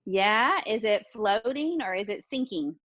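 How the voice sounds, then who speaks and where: A woman's voice: mid-range voice, lively intonation, measured pace, a bit bright, no room sound. Muffled-sounding woman teaching young children. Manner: encouraging, questioning, repeats children's answers. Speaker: pre-kindergarten teacher. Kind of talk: interactive lesson for young children.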